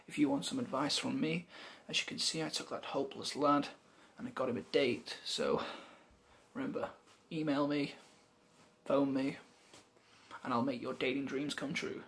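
A man's voice: fairly high pitch, fairly bright tone. A young man playing a cocky, self-assured dating guru in a comedy sketch, talking in a chavvy, laddish way. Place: small living room.

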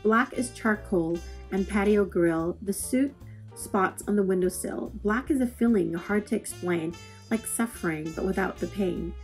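A woman reading poetry aloud for children in an expressive style, with measured, deliberate phrasing. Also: mid-range voice. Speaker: read-aloud host. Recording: music playing under the voice. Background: plucked guitar music.

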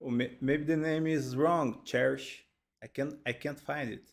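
A Brazilian man in his thirties, speaking English with a Brazilian Portuguese accent, reacts to a music video from a home studio. His voice is gravelly, and he talks slowly, stumbling over and repeating words. He breaks into delighted exclamations, and he is recorded on a headset mic. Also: medium pitch, fairly even intonation. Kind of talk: casual live reaction commentary. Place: home studio, indoors, gaming chair.

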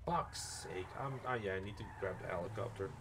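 A man chatting casually and swearing freely as he streams a role-play game as a medic, in an animated, exasperated way. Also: low voice, a little fast. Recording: background noise and game sounds.

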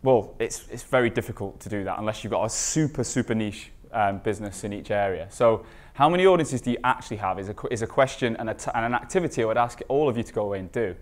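A man's voice: on the low side, fast talker, hardly any pauses. Man giving a conference presentation. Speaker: conference speaker, recruitment marketing expert.

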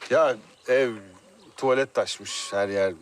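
An actor playing a wealthy, self-assured middle-aged hotel owner in a TV drama who gives casual orders. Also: male voice, medium pitch, takes it slowly, a little hiss or hum, lightly acted.

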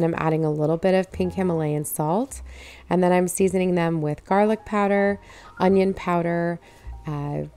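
A woman narrating her home cooking video in a relaxed, step-by-step way, walking viewers through each recipe stage. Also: lowish voice. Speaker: cooking channel host.

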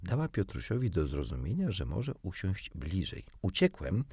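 A man reading a children's storybook as an audiobook narrator, in an expressive scripted style. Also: sits low, normal speed, slightly rough, no echo.

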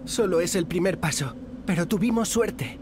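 A woman's voice: on the low side, normal speed, background noise, close to an everyday voice. A woman voicing an eager, brave young snowman boy in a video game, with a Castilian Spanish accent. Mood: eager, determined. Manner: exclamatory, eager. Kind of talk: video game cutscene acting. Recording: behind loud game music.

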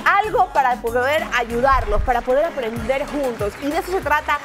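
A woman in her thirties with an Ecuadorian accent, co-hosting a TV magazine show and thanking the viewers warmly and emphatically. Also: high-pitched, lively intonation, normal speed. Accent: Ecuadorian Spanish. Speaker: TV show co-host. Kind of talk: upbeat on-air address to viewers. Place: TV studio set. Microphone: lapel. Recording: TV studio with music bed.

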